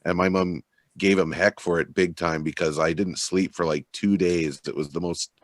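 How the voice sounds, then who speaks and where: A man in casual radio-show chat, talking in an expressive, loosely conversational way. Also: low-pitched, normal speed, no room sound, clean studio sound.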